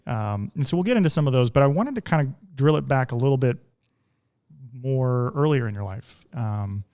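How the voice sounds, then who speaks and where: A man hosting an interview, asking easygoing, halting questions with frequent fillers. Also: mid-range voice, expressive, darkish tone, smooth. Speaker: podcast host. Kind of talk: casual interview conversation.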